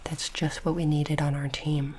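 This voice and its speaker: Woman doing whispery asmr as a polite formal alien interviewer, flat intonation, bit of background hiss. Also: deep voice. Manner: slow, deliberate, formal phrasing in character as an alien interviewer. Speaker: ASMR roleplay performer. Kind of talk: ASMR roleplay, soft-spoken and whispered.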